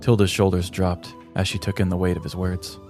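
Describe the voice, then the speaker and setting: Man, low pitch, mock-epic scripted narration, theatrical, measured, prominent music bed. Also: flat delivery, darkish tone. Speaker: podcast host and storyteller. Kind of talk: dramatic scripted comedic fantasy narration.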